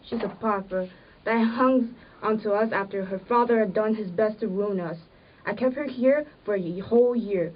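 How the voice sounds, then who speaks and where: A woman's voice: mid-range voice, moderate pace, a little hiss or hum, close to an everyday voice. A woman playing a sickly, bitter, complaining farm wife with old-fashioned rural phrasing in an amateur period drama. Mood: bitter, hard. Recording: muffled audio, distant microphone.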